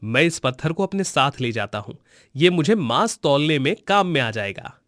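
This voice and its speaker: Humble pious butcher voiced by a man for an animated moral story. Earnest and wondering, lightly raised male pitch, dry booth. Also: normal speed, no room sound. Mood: curious, musing. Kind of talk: cartoon moral-story voice acting.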